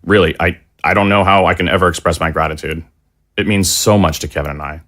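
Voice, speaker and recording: A man's voice: low-pitched, steady tone, quick pace, fairly bright tone. A man playing an earnest, plain-spoken father searching for his son in an audio drama. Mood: relieved, grateful.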